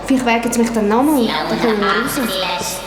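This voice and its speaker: Woman voicing an anxious high-voiced young runaway parcel. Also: a little fast, close to an everyday voice. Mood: urgent, plotting. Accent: Swiss German. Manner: hesitant, stammering, urgent. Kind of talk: children's radio play acting. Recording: roomy studio with loud music underneath.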